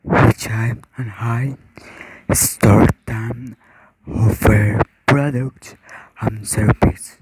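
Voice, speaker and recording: Very husky young man, brief halting answers. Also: fairly low pitch, steady tone, darkish tone, rough recording. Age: 20s. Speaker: job candidate, university student. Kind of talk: rehearsed interview answers.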